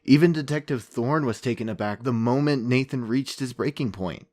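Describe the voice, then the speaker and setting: A man's voice: medium pitch, normal speed, a little mellow, lightly acted. A man playing a sympathetic investigator narrating a fictional true-crime podcast in a sombre, reflective manner. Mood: sombre, moved.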